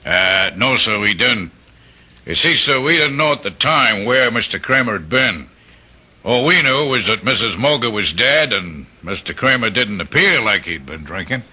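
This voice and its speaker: An actor playing a deferential police sergeant in a radio drama, halting and respectful. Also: male voice, fairly low pitch, animated, bright, forceful tone, exaggerated voice. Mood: hesitant, respectful. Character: deferential, hesitant police sergeant giving testimony. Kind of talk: old-time radio drama acting.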